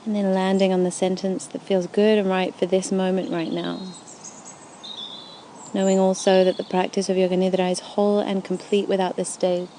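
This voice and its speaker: A woman with a dark, relaxed, lowish voice leads a guided meditation. She speaks soothingly and takes her time over each gentle phrase. Faint birdsong and forest ambience sit behind her. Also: a little hiss or hum. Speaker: yoga nidra guide. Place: outdoors in a forest at dusk. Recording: outdoor recording with faint birdsong.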